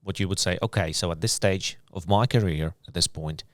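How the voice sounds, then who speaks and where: Male podcast host, low voice, easygoing, chatty, dry close mic. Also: a little fast, no echo. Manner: easygoing, conversational, drawing out the guest. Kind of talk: casual podcast interview.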